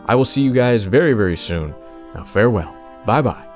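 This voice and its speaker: Laid-back low-voiced man from California. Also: animated, fairly quick, faint music behind. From Northern California. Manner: laid-back, conversational, slangy. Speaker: video game channel host. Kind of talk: casual channel update and Q&A.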